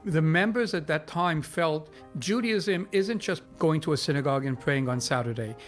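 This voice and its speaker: Male interviewee, rabbi, somewhat high voice, explanatory delivery over faint music. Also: normal speed.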